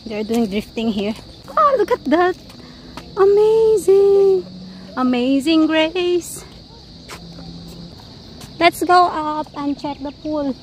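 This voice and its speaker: A woman leads a walking tour vlog, her high voice rising in excited exclamations. She talks slowly and without hurry. The handheld outdoor recording is full of steady background noise. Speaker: travel vlogger. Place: outdoors and in a sports complex, rain and wind. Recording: noisy outdoor phone video.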